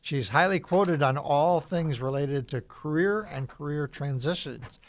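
Gravelly-voiced man hosting a radio show. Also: fairly high pitch, a little slow.

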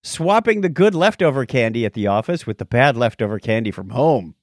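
Male podcast co-host, lively pitch swings, wry and casual, dry close sound. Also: fairly quick, dry recording. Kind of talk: casual banter, reading listener messages aloud.

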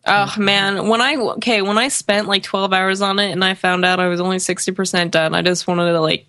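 A woman's voice: medium pitch, level tone, a little fast, a bit bright, no echo, studio quality. A woman co-hosting a casual podcast with playful, dramatic exaggeration. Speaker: podcast co-host. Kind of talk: casual chat.

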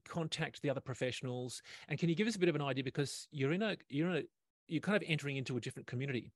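A man's voice: fairly high pitch, no echo. A man hosting an interview, asking questions and explaining things to listeners with keen, affirming enthusiasm. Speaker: host, interviewer. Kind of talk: podcast interview.

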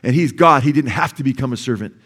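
A man's voice: medium pitch, quick pace, fairly bright tone, husky. A male pastor with a Californian accent preaching in a church sanctuary. He explains word meanings patiently, then rises to emphatic peaks. He keeps asking the congregation for an amen. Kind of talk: sermon.

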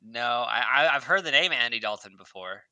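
A man's voice: medium pitch, animated, a little fast, a crowd in the background, rough recording. A man co-hosting a podcast in playful, goofy casual chat. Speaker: podcast co-host.

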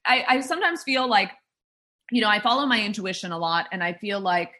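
A woman's voice: mid-range voice, fairly quick, sharp tone. A woman who founded a drink startup, talking in a casual interview, rambling with many fillers and restarts.

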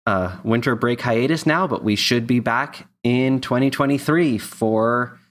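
A man's voice: medium pitch, a little slow, fairly bright tone. A man co-hosting a podcast, chatting casually and reading out announcements. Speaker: podcast co-host.

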